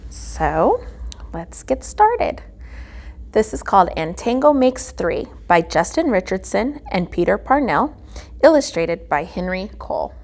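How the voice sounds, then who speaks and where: A woman reading a children's picture book aloud as a guest reader, phrasing it deliberately with emphasis. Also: mid-range voice, sing-song, a little mellow. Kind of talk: children's storybook read-aloud.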